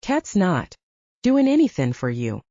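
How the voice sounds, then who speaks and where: A woman's voice: fairly low pitch, wildly swooping pitch, unhurried, mellow, no echo, highs cut off, heavily acted. A woman voicing a hot-headed, foul-mouthed male werewolf soldier who threatens and growls in an audiobook. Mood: angry, defiant. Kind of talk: audiobook character dialogue.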